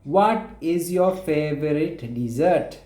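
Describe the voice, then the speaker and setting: A man with a Sri Lankan accent runs a slow English drill. His voice is dark and unhurried. The call sounds echoey. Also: a little high, lively intonation. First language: Sinhala. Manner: patient, repeats questions, brief praise. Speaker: spoken English teacher. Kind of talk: oral drill over a call.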